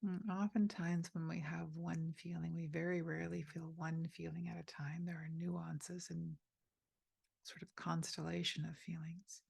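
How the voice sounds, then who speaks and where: Slow, husky-voiced woman guiding meditation. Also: sits low, level tone, no room sound. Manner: slow, soft and gently inviting, with pauses. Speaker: meditation teacher. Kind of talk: guided meditation.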